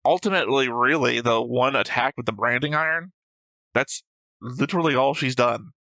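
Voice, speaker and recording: A man's voice: medium pitch, normal speed, bright, forceful tone, dry recording. A man co-hosting a reaction show, chatting casually in a blunt, argumentative, sweary way. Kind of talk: casual discussion of an anime episode.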